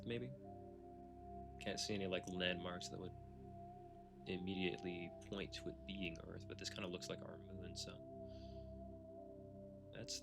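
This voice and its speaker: A laid-back male streamer chats casually while playing a video game. His voice is low with plenty of vocal fry, and there is faint music behind him. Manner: laid-back, offhand rambling. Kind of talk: casual livestream chat and game commentary.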